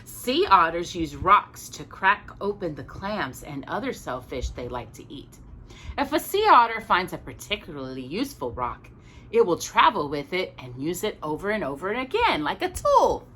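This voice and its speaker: A woman hosting a storytime, reading a picture book aloud in an expressive, chatty way with casual asides. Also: fairly low pitch, normal speed, slightly rough. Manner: expressive, chatty, stumbles and corrects herself.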